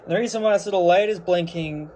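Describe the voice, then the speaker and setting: Higher-voiced casual man, noisy recording. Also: quick pace, a little mellow. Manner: informal, matter-of-fact, slangy. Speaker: hobbyist presenter. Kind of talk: casual gear tour, unscripted explanation. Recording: clearly noisy amateur video recording.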